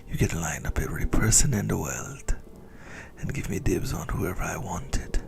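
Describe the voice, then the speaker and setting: Male actor as possessive ancient vampire boyfriend, low creaky whisper, intimate ASMR roleplay, smug menace, music behind. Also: animated, moderate pace, full character voice. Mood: devoted, intense. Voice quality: breathy, gravelly whisper. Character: possessive centuries-old male yandere vampire lover, smugly menacing.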